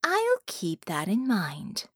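A woman's voice: mid-range voice, huge pitch swings, slow pace, a bit bright, breathy edge, no echo, heavily acted. A woman voicing a cool, dry-witted, manipulative captive woman in an audiobook, speaking with control.

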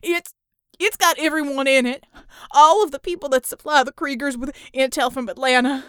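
A woman voicing a wounded, cowardly male official in an audiobook, gasping, shouting and pleading in pain. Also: high voice, fairly even intonation, moderate pace, no echo, clean studio sound, voice pushed up, natural voice. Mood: desperate pleading. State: in pain, out of breath. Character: wounded, panicked, cowardly American city councilman, male.

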